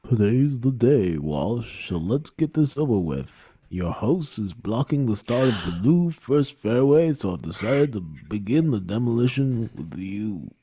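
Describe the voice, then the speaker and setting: A man's voice: medium pitch, very animated, measured pace, hardly any pauses, mellow, slightly rough. A man reading the part of a pushy, smug rich businessman at a live table read. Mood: smug, aggressive.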